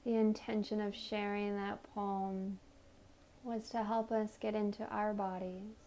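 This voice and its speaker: A woman leading a guided meditation in a soothing, gentle manner, offering unhurried invitations. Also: mid-range voice, little pitch movement. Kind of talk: guided meditation, body scan narration.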